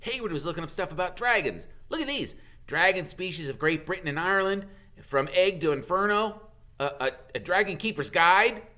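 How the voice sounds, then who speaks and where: A man voicing an eager, know-it-all young schoolboy in a storybook, excitable and insistent. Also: high-pitched, fairly quick, pitched above the performer's own voice. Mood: excited.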